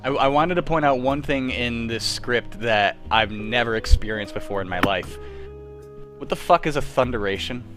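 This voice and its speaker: A man's voice: medium pitch, moderate pace, a bit bright, faint music behind. A young man hosting a livestream in casual, jokey, self-deprecating group chat. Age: young adult. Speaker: host of a live script-reading stream.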